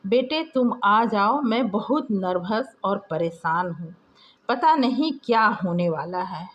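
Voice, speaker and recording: A woman's voice: mid-range voice, expressive, slow pace, fairly bright tone, highs cut off. A woman voicing a distressed middle-aged mother in an audio story, worried, pleading and close to tears. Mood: anxious, pleading.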